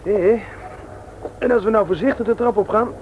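Actor doing boyish easygoing lad in a radio play, high pitch, slight background noise. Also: male voice, moderate pace, narrow, tinny audio, close to an everyday voice. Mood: cheerful. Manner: colloquial, boyish. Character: carefree youth sneaking a friend home.

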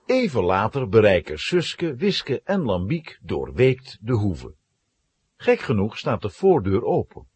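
Very husky, animated male storyteller. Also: on the low side, takes it slowly. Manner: dramatic storyteller. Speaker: narrator. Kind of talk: scripted storytelling narration for children's audio drama.

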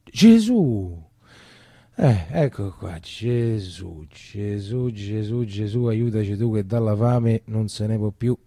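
A man's voice: on the low side, animated, slow, dark tone, no room sound, clean studio sound. A man with a Roman accent acting a theatrical storytelling monologue in a colloquial, confiding way. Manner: colloquial, confiding, repeats phrases. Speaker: stage actor.

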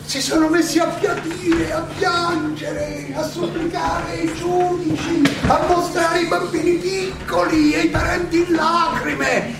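Very echoey distant noisy stage recording, male actor as proud old philosopher on trial, ironic, slow rhetorical oratory, dramatic pauses. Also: very high-pitched, creaky, heavily acted. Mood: resolute. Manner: halting, rhetorical questioning with dramatic pauses and emphatic swells. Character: proud, ironic older Greek philosopher defending himself at trial. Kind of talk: theatrical stage monologue, courtroom oration.

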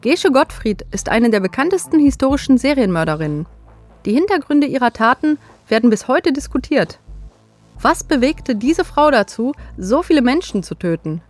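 A woman podcast presenter giving a scripted, factual and measured introduction to a radio play. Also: a little high.

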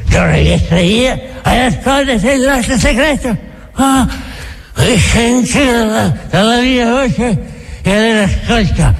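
An actor playing a bitter, domineering old Grand Inquisitor in a theatrical radio drama, halting and repeating his words. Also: extremely high pitch, lively intonation, gravelly, exaggerated voice, poor audio. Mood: angry taunting. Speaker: stage actor.

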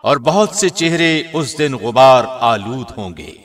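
Guy reading scripture translation like a radio host, medium pitch, formal and measured. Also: animated.

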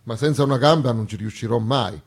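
A man's voice: mid-range voice, brisk, breathy edge, raised voice, some chatter behind. A man playing the South personified as a weary, plaintive one-legged underdog, plodding and stubborn in a comic stage dialogue. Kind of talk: theatrical comic monologue dialogue.

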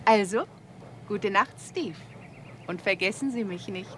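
A woman's voice: medium pitch, a little slow, a little hiss or hum, close to an everyday voice. An actress playing a flirtatious, teasing Hollywood woman in a 1960s German radio drama, playful and coquettish.

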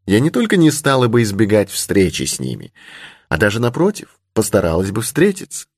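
A man's voice: on the low side, wildly swooping pitch, a little fast, husky, no room sound, studio quality, voice pushed up, full character voice. A man voicing a brave, candid young creole lady in an adventure audiobook. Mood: confident. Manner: candid, charmingly simple, assured. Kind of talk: audiobook character in adventure novel.

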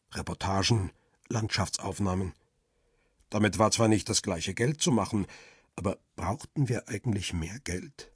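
An actor playing a wary middle-aged paparazzo who tells his own story in a radio crime drama, husky and terse. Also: on the low side, dry recording, clean studio sound. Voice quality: husky, rough. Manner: reflective, terse first-person narration.

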